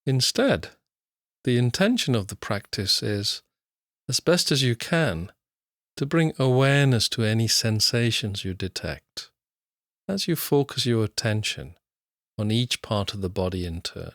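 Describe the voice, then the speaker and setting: A man guiding a body scan meditation, speaking slowly and calmly with long pauses. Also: medium pitch, dry recording, clean studio sound. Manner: slow, calm, soothing, with long pauses. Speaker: mindfulness teacher.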